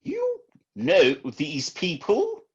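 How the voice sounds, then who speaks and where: Guy doing a prissy polite priest, raspy, wildly swooping slow delivery, dull muffled recording, radio comedy. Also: high-pitched, huge pitch swings, full character voice. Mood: pleading. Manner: formal, polite, fussy. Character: courteous, fussy, disgraced priest trying to stay inconspicuous. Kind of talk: comedy radio play acting.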